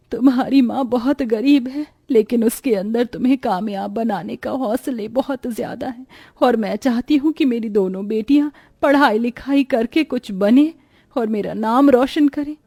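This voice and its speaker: A woman's voice: fairly high pitch, unhurried, warm tone. A woman voicing a poor, weary, devoted village mother in a cartoon story, speaking tenderly, earnestly and plaintively. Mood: hopeful.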